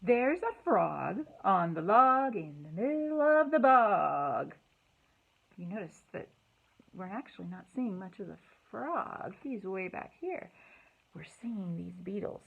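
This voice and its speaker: A woman hosting a children's storytime, speaking in an expressive, sing-song way to young listeners, in slightly dull, lo-fi sound. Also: mid-range voice, slow pace, creaky, breathy edge. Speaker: library storytime host. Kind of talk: children's storytime, read-aloud and singalong.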